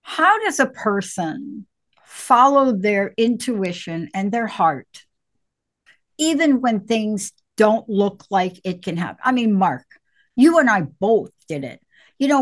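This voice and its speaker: A middle-aged woman, a psychologist, co-hosting a call-in show in a playful, bubbly way with rapid bursts of talk. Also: on the high side, big pitch swings, a bit bright. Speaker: co-host, psychologist. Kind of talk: call-in radio show chat.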